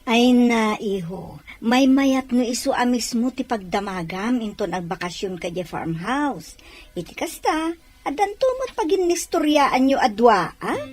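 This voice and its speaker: A woman's voice: a little high, expressive, a little slow, a little mellow. A woman playing a mother advising her son in an audio drama.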